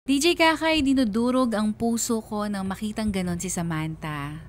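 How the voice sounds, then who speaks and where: A woman's voice: mid-range voice, moderate pace, slight background noise, natural voice. A woman playing a sorrowful, devoted mother narrating her life story in a radio drama. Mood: pained, regretful. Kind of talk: scripted first-person radio drama narration. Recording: music bed behind the voice.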